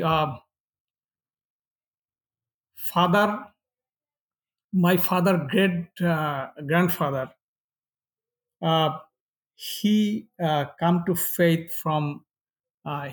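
A middle-aged man from Bangladesh with a Bangladeshi accent and Bengali as first language, giving personal testimony haltingly in non-fluent English. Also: high-pitched, rough recording. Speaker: pastor, interview guest.

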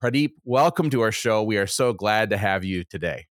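A man's voice: on the low side, animated, moderate pace, dry recording, clean studio sound. A man hosting a religious interview show in a conversational manner. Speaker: host.

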